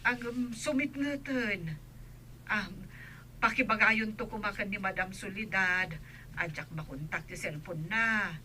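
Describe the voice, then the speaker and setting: An anxious Ilocano household nanny, noisy recording. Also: female voice, mid-range voice, a little slow, pushed and bright. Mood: respectful, anxious. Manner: deferential, anxious. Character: dutiful, worried female household nanny. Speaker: household maid. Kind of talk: Ilocano radio drama acting. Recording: noisy radio drama recording.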